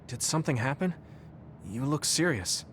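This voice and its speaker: A man's voice: mid-range voice, expressive, normal speed, a bit bright, slight background noise, natural voice. An actor playing an earnest, supportive and self-assured teenage boy soldier in a video game. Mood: encouraging. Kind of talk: English video game voice acting.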